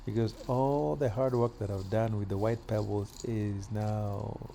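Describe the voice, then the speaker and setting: A middle-aged man from Kenya chatting casually while doing yard work outdoors, with relaxed pauses and fillers. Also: on the low side, gravelly, a little hiss or hum. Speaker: vlogger.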